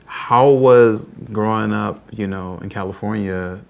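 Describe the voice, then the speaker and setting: A man's voice: fairly low pitch, measured pace, creaky, a little mellow, smooth. A man hosting a talk show interview, chatting casually and rambling with lots of fillers. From United States. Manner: rambling, many fillers like "you know" and "like".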